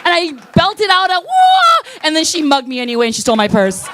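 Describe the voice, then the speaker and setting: A woman's voice: very high-pitched, very animated, a little fast, over a noisy crowd, very noisy. A young woman performing a stand-up set in a comedy club, rambling, swearing casually and laughing at her own slips. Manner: rambling, self-deprecating, loses her place and laughs at herself.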